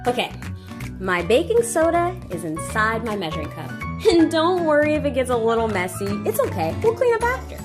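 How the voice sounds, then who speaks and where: High, very animated woman hosting for children. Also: moderate pace. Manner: animated, exaggerated, talks directly to children with questions. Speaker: children's show host. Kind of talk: interactive children's read-aloud and science show. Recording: echoey room with music. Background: music.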